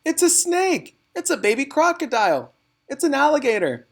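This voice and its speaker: Man as squealing storybook schoolkids, high-pitched, loud, excitable, alarmed, exclaiming. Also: normal speed, full character voice. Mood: panicked disgust.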